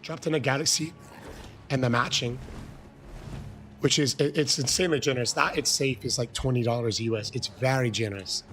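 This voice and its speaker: An Irish man streaming a video game live, chatting with viewers and thanking them. He is hoarse from losing his voice and gets so excited that he breaks into shouts. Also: mid-range voice, brisk, faint music behind. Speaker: gaming livestreamer. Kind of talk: casual live-stream chat.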